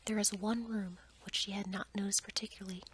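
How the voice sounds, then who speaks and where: A woman reading a bedtime story aloud for ASMR in a soft, breathy, measured whisper. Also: mid-range voice, little pitch movement, a little hiss or hum.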